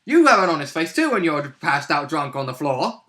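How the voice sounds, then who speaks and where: Higher-pitched, bright young man chatting. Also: big pitch swings, a little fast. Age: young adult. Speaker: co-host, player. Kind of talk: casual chat about a tabletop game. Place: small furnished room at home.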